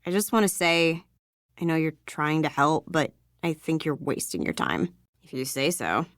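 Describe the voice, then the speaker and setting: A woman's voice: low voice, animated, normal speed, fairly bright tone, dry recording, clean studio sound. A woman playing a guarded young female outcast in a video game, speaking in a terse, withdrawn, sardonic way. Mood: defensive.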